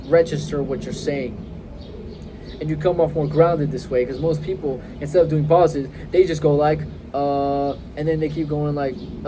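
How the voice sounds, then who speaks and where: A young man in his twenties giving confident, emphatic self-improvement advice straight to his phone outdoors, varying his pace and pauses. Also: a little high, noisy background. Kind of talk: direct-to-camera advice vlog. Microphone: phone held close.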